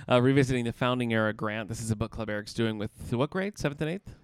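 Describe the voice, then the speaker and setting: A man's voice: mid-range voice, quick pace, clean tone, no echo, clean studio sound. A man in his thirties co-hosting a casual chat, joking and rambling with filler restarts. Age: 30s. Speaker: podcast co-host.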